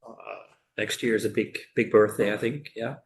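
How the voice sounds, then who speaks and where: Low-voiced middle-aged man with Swiss accent. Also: steady tone, moderate pace, a little hiss or hum. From Switzerland. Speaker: committee applicant. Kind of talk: informal self-introduction at a public meeting. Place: indoor public meeting room.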